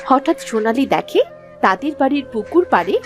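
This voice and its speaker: A woman narrates a scripted children's story. Her voice is high and animated, with light music playing underneath. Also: fairly quick.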